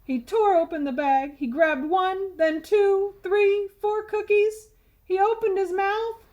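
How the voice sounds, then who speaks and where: A woman's voice: very high voice, fairly even intonation, takes it slowly, a little mellow, dull audio. A woman storyteller reading a children's picture book aloud expressively.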